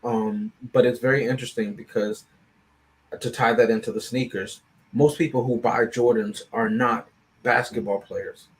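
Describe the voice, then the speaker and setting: A man's voice: mid-range voice, fairly even intonation, normal speed, poor audio. A man speaking as a panelist on a film review show, in casual, analytical discussion, often checking in with "right?".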